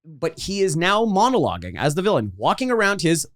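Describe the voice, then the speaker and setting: A man's voice: sits high, brisk. A man chatting casually as a co-host, recapping a film for laughs and acting out little asides as he tells it. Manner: animated storytelling with mimicked asides. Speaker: podcast co-host.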